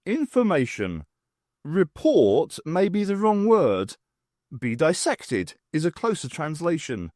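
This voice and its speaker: A man's voice: fairly high pitch, wildly swooping pitch, slow, a little mellow, no room sound, voice pushed up, exaggerated voice. Audiobook villain: man as cold alien overlord, commanding, exact, menacing, dry recording. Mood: cold menace. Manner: commanding, precise, literal-minded. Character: menacing, coldly clinical alien master with face tentacles.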